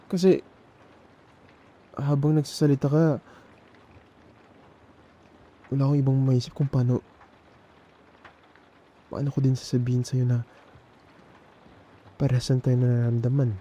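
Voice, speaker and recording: A man with a Filipino accent playing a nervous, tender young man in an intimate ASMR boyfriend roleplay, speaking haltingly and repeating words. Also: medium pitch, little pitch movement, mellow, a little hiss or hum, close to an everyday voice. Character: nervous, tender young man confessing love to male best friend. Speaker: ASMR audio roleplay performer.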